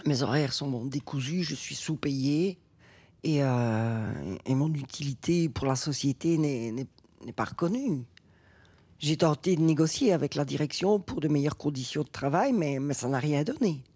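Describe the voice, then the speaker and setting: An actress playing a nervous, apologetic, quietly defiant home care worker in a radio drama, hesitant and stammering. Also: female voice, low voice, expressive, normal speed, breathy edge. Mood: hesitant, aggrieved. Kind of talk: naturalistic radio drama acting.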